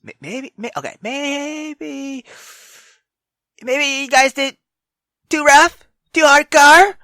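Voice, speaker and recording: A man doing gaming commentary and reading story text aloud, excitable, slangy and sweary. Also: very high-pitched, takes it slowly, no room sound, studio quality. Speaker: let's play host.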